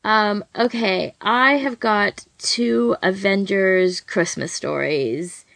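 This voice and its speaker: A woman's voice: medium pitch, takes it slowly, gravelly, a bit bright, no echo. A woman podcast co-host chatting casually and informally as she gives recommendations, often breaking off and rephrasing. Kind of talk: casual chat, giving recommendations.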